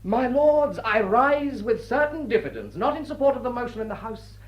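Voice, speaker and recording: An actor playing a dashing playboy lord in a period radio drama, florid, mock-pompous and emphatic, with a husky voice. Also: male voice, very high voice, very animated, moderate pace, lo-fi sound. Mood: mock oratory. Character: dashing upper-class English playboy lord, gallant and theatrical.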